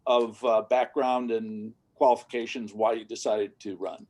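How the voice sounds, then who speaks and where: A man's voice: mid-range voice, level tone, normal speed, a little mellow. A man hosting and moderating an online discussion over a video call, talking loosely with many fillers. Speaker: host.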